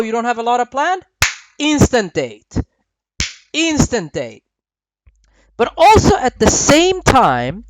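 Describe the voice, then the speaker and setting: Male dating coach, 30s, from Sweden; high voice, dry close sound; relaxed and repetitive. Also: clear, resonant tone, no room sound, rough recording. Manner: laid-back, repetitive, keeps checking in with "right?". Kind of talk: voice-over commentary on footage. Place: voice-over recorded apart from the footage.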